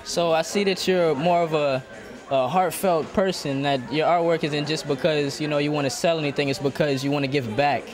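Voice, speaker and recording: A young man interviewing artists at an outdoor art event, asking friendly, halting questions. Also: on the high side, fairly even intonation. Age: young adult. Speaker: student interviewer. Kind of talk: casual on-the-spot interview. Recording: crowd chatter and music behind.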